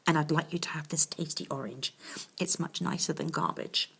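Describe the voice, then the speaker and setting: A woman's voice: deep voice, sing-song, moderate pace, creaky, fairly bright tone, slightly rough, heavily acted. A woman voicing a plucky young boy in a storybook, speaking earnestly and with good manners. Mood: kindly, conciliatory. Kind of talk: storybook character reading.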